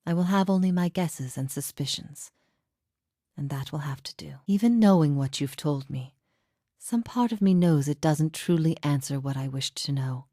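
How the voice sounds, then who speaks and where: A woman voicing a grave, forgiving heretic teacher in a fantasy game, speaking in a solemn, measured, reflective way. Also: sits low, dark tone, dry recording, studio quality. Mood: wistful, resigned. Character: grave, forgiving female heretic teacher, long imprisoned, philosophical. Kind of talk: scripted fantasy game dialogue.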